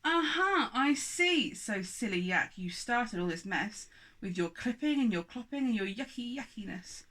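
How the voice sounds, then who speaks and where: A woman voicing a huge, angry, pompous father giant who booms out accusations in a children's storybook. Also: lively intonation, unhurried, pushed and bright, exaggerated voice. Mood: suspicious, accusing.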